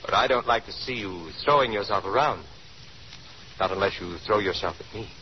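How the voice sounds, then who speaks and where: A man's voice: on the low side, fairly quick, lightly acted. An actor playing a wry, worried, smitten male companion in a 1930s radio drama, urgent and conversational. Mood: concerned. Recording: noisy, muffled 1930s radio recording.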